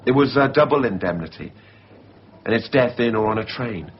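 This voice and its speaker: A man's voice: medium pitch, animated, moderate pace, natural voice. An actor in a radio drama playing a casual but insistent, scheming man obsessed with films. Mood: hesitant, chatty. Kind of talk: 1990s radio drama acting. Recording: muffled, noisy radio drama recording.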